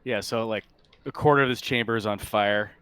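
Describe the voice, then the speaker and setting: A man's voice: on the low side, a little fast, fairly bright tone, clean tone, no echo. A man acting as game master, casually explaining rules and guiding players in an easygoing way. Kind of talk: casual running of a tabletop game.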